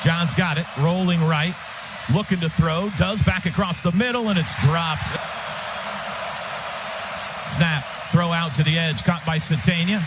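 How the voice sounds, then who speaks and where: High-pitched male football play-by-play announcer. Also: measured pace, pauses often, noisy background. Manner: excitable, urgent, punchy calls that swell to shouts. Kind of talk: live football radio commentary in short clipped phrases.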